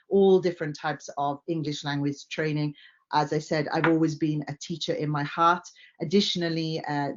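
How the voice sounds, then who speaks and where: A woman's voice: sits low, muffled recording. A Welsh woman giving a conference presentation, friendly and earnest, with frequent "uh" fillers. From Wales. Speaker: company director.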